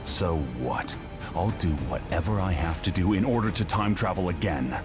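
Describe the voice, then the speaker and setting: A very deep, ruthless game villain. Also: male voice, animated, normal speed, music in the background. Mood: cold, dismissive. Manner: brooding, contemptuous, menacing. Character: cold, ruthless, obsessive male time-travelling villain. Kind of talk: dramatic video game voice acting.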